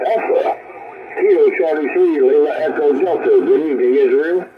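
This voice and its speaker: A man's voice: on the high side, moderate pace, crowd noise. A man speaking as an amateur radio operator over a two-way radio, trading call signs and short reports. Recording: tinny, noisy shortwave radio transmission.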